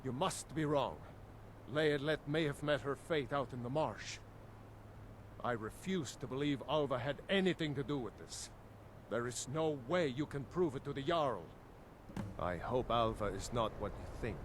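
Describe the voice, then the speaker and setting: An actor playing a grieving, disbelieving village husband in a fantasy video game, speaking in a halting, dramatic way. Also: male voice, medium pitch, expressive, a little hiss or hum, lightly acted. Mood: disbelieving, defensive.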